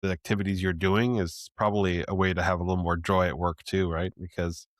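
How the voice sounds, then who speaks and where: A man's voice: low-pitched, level tone, brisk, dry recording, clean studio sound. A man hosting a casual interview in an easygoing way, often checking in with "right?" as he thinks aloud. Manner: easygoing, with many fillers and restarts, ending lines with "right?". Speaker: podcast host.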